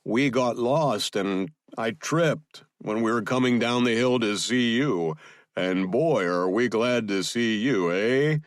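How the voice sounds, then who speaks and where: A man's voice: mid-range voice, lively intonation, slow pace, no echo, studio quality, voice pushed up. A man voicing a tough operative in an audiobook who bluffs as a friendly, apologetic, folksy tourist. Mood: cheerful bluffing.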